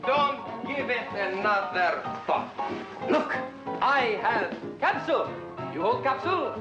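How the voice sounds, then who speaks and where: A loud reveler, high lively voice, broken English, echoey room, music behind. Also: male voice, slow, dull audio, full character voice. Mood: boisterous. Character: boisterous Russian man Igor, playful and romantic.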